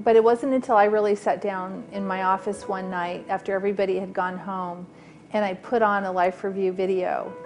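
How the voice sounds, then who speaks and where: A woman who works as a nurse, from California, speaking in a heartfelt way in an interview. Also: mid-range voice. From Southern California, US. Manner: heartfelt, laughs easily. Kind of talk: reflective interview testimony.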